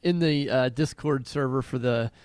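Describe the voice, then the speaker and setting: A man's voice: mid-range voice, normal speed, a little mellow, no room sound. A man co-hosting a podcast in a casual, conversational style. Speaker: podcast co-host.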